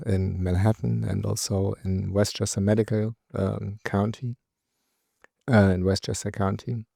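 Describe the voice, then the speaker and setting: A middle-aged man with a German accent, a department chair answering interview questions haltingly, with many fillers. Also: on the low side, vocal fry, warm tone, breathy edge, no echo, studio quality.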